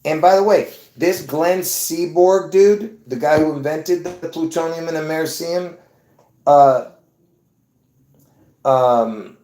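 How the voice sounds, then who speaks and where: A man from Lebanon hosting his own video, talking excitedly to viewers in a rambling, repetitive way with bursts of laughter. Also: on the high side, takes it slowly, pauses often, roomy sound. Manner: excitable, repeats himself, laughs between points. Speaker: video host. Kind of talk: rambling casual monologue to viewers.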